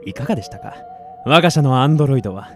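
A man's voice: mid-range voice, lively intonation, rapid-fire, faint music behind, natural voice. A man acting as a coolly polite, businesslike collection agent for an android company in a Japanese audio drama. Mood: polite, matter-of-fact.

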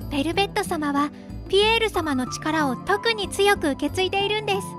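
A woman's voice: very high voice, a little fast, over music. A woman voicing a cute, polite young apprentice mage in a Japanese anime-style radio drama, eager and deferential. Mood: earnest.

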